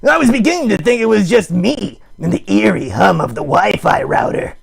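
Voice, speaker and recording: Raspy, super creaky puppet hosting a comedy stream, melodramatic, needy, mock-grand. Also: male voice, sits high, very animated, normal speed, exaggerated voice. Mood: conspiratorial, playful. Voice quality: gravelly, husky. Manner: theatrical, mock-grand, sarcastic. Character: melodramatic, needy puppet live streamer with big wry bravado. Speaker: host of a live puppet show stream. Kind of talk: improvised comic livestream monologue.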